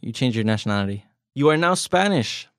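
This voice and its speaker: A man's voice: medium pitch, lively intonation, fairly quick, no echo, studio quality. A man from the United States, co-hosting a bilingual podcast language lesson, explaining points and asking leading questions with light jokes. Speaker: podcast co-host.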